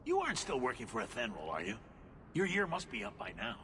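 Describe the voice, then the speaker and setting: An actor voicing a genial, sly dwarf merchant in a video game, with a jovial, teasing manner. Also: male voice, medium pitch, wildly swooping pitch, quick pace, a bit bright, big-room sound, slight background noise. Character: genial sly male dwarf merchant, worldly information-peddler. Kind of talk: video game character dialogue.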